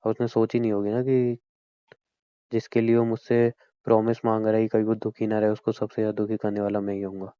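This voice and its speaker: A man's voice: lowish voice, monotone, brisk, clear, resonant tone, no room sound, lightly acted. A man playing a hurt, reproachful young man who broods over his doubts in an intimate phone-call drama. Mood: wounded, somber. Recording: dry, dull lo-fi call recording.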